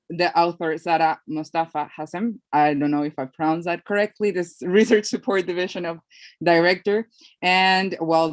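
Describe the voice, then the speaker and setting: A woman's voice: sits low, no echo. A woman announcing research award winners on a video call, playful and laughing between lines.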